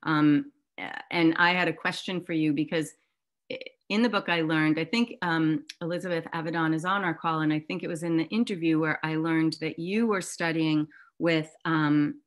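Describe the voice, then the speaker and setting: A woman hosting an online book discussion in a casual, chatty and enthusiastic way. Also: low-pitched. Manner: enthusiastic, informal. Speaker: host of an online photobook discussion. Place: online video call.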